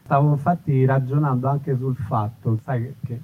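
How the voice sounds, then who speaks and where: A man's voice: medium pitch, fairly even intonation, moderate pace, a little hiss or hum. Man as live interviewer, mid-range voice, discursive and thoughtful, narrow phone-like audio. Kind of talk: casual on-stage interview. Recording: thin phone-like live recording.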